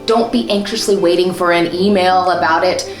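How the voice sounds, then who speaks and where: A woman's voice: fairly low pitch, steady tone, moderate pace, reverberant, faint music behind, low-quality recording. A woman in her thirties, a voice actor, hosting an upbeat instructional vlog to camera from a small room at home and gesturing a lot as she talks. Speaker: voice actor and host.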